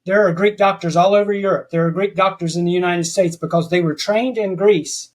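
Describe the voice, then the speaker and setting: A man's voice: high-pitched, steady tone, a little fast. A man talking as a podcast guest in a rambling way, often restarting his sentences. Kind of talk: casual interview chat.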